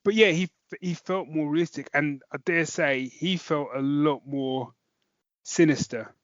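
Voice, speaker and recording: A man's voice: on the high side, a little slow, a bit bright, no echo, dull audio. A man co-hosting a podcast, chatting casually about a review and thinking aloud as he goes. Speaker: podcast co-host.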